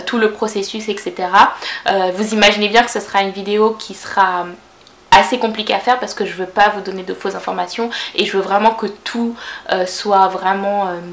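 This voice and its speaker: A woman's voice: mid-range voice, roomy sound. A woman in her twenties from France with a Parisian French accent, answering viewer questions in a casual vlog, chatty, with lots of fillers and self-corrections. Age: 20s. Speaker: vlogger.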